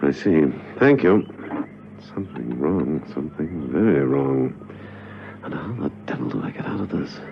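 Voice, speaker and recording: An actor playing a suave, unflappable British gentleman secret agent in a classic spy radio drama, courteous and dryly witty, with a smooth voice. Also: very deep voice, animated, normal speed, slight background noise, full character voice. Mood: urgent, puzzled. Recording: muffled vintage radio recording.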